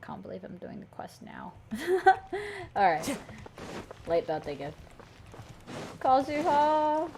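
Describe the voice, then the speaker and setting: A young woman giving excitable, mock-dramatic, gushing gameplay commentary. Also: medium pitch, slow, gravelly, pauses often. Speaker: game streamer. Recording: noisy mic with game sound. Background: game audio.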